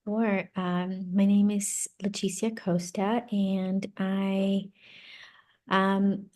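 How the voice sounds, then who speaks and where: A woman from Brazil, a native Portuguese speaker, giving casual interview answers and haltingly thinking aloud. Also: medium pitch, flat delivery, darkish tone. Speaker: interview guest, psychology doctoral graduate.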